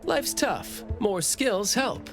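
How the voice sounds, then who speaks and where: A woman's voice: medium pitch, sing-song, slow, over music. A woman voicing a laid-back, playful, work-shy captain in a video game, with a nonchalant delivery. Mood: breezy, reassuring. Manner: breezy, nonchalant.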